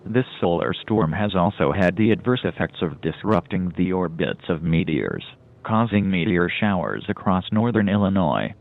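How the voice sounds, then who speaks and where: A man's voice: deep voice, big pitch swings, normal speed, creaky, full character voice. A man playing a grave, official emergency alert announcer, reading a formal, impersonal message in a muffled broadcast recording.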